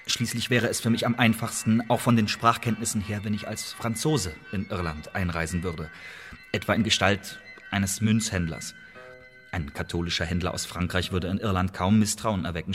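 A man acting in a radio play as an articulate, loyal imprisoned English agent and playwright, speaking in measured, formal, persuasive phrases. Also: lowish voice, lightly acted. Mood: earnest, persuasive.

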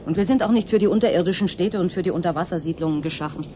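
A woman playing a weary, sharp-tongued yet wistful researcher in a German radio drama. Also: on the low side, rapid-fire, natural voice. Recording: clear ambient noise behind.

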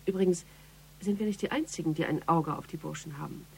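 A woman's voice: low voice, a little fast, vocal fry, darkish tone, a little hiss or hum. An actress playing a shrewd, self-possessed female police investigator in a German radio crime drama. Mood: serious, explaining. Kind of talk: German radio play dialogue.

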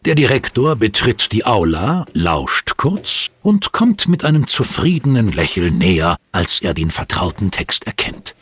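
A man narrating a scripted audio drama with expressive storytelling. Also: on the low side, measured pace, husky.

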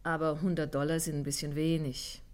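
A woman's voice: low-pitched, little pitch movement, brisk, close to an everyday voice. An actress playing a flustered, greedy landlady in a radio play, coy and feigning innocence. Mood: coy, wheedling. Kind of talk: 1950s German radio play acting.

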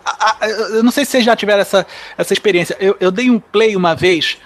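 Male Brazilian podcast panelist, high-pitched voice, casual, jokey, slangy delivery. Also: quick pace, fairly bright tone, no echo.

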